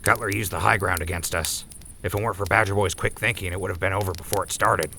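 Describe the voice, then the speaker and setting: A man's voice: low-pitched, steady tone, fast talker, gravelly, pushed and bright, slight background noise, full character voice. A man voicing an easygoing, drowsy companion who speaks in a loose, offhand way. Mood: hopeful.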